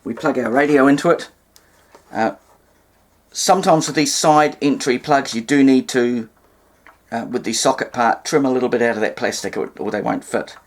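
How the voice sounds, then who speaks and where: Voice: mid-range voice, normal speed. A speaker with a New Zealand accent explains a hands-on demonstration, hesitantly thinking aloud and carefully spelling things out. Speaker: presenter of a vintage radio repair demonstration. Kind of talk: unscripted hands-on demonstration talk. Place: indoors, at a table in a room.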